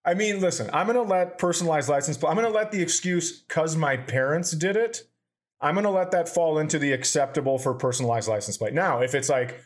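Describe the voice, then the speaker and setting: A man hosting a podcast, telling stories in a casual, profane, animated way. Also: on the high side, a little fast. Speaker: podcast host.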